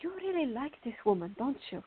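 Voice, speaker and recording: A woman's voice: high voice, big pitch swings, brisk, husky, heavily acted. An actress playing a fiery, indignant young woman in a radio drama, arguing and questioning. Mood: angry, jealous. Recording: tinny, band-limited, echoey room.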